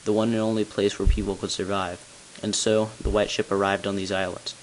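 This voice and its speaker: Man acting as solemn tall bell ringer, lowish male voice, grave deliberate storytelling, game narration, amateur recording with noticeable hiss. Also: flat delivery, natural voice. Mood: solemn, explanatory. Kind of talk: scripted character narration, amateur game voice-over. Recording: hissy, noisy amateur home recording.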